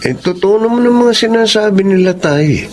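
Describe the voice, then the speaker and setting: A man's voice: sits high, animated, moderate pace, darkish tone, soft background music. A man playing a meek, apologetic tutor in his thirties in a drama, humble and hesitant. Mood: humble, earnest. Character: meek, apologetic thirty-five-year-old tutor, dutiful son. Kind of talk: emotional Filipino audio drama acting.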